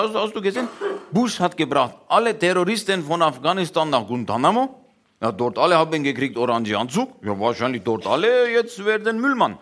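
A man's voice: on the high side, a bit bright. A man playing a talkative foreigner who speaks broken German and starts each sentence with "ja". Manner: broken word order, constant "ja" openers. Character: chatty foreign man mangling German grammar. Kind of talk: satirical comedy monologue.

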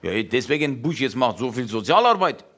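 A man's voice: medium pitch, sing-song, fairly quick, fairly bright tone. A man playing a broken-German speaker who mocks world politics in a comedy monologue, leading every line with "ja". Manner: broken grammar, starts each line with "ja".

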